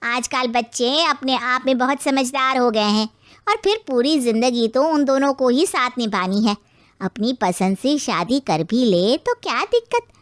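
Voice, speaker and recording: A woman voices a broad-minded middle-aged friend in a family tale. Her voice is very high and the recording is dry. She reasons persuasively and reassuringly. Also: lively intonation, unhurried, no echo. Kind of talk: acted moral story dialogue.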